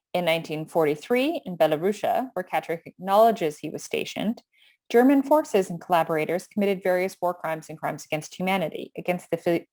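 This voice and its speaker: Measured Canadian woman reading a history lecture. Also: on the low side, a little mellow. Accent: Canadian English. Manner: measured, reads from a script with small slips. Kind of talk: prepared lecture read aloud.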